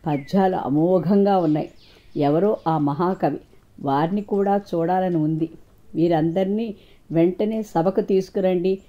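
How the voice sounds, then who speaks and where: A woman's voice: on the low side, measured pace, muffled recording, close to an everyday voice. A woman voicing a grand, reflective male king in a storybook folk tale. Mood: admiring. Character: world-weary male king, easily enchanted by art.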